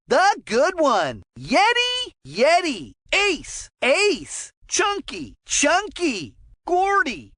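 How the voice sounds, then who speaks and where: A woman voicing a cartoon child hockey announcer in a video game, calling out names in a drawn-out announcer delivery. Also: very high voice, pushed and bright, no room sound, clean studio sound, exaggerated voice. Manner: drawn-out announcer delivery of names, each said questioning then declarative. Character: high-voiced cartoon child hockey announcer, Buddy Cheque. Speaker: sports commentator.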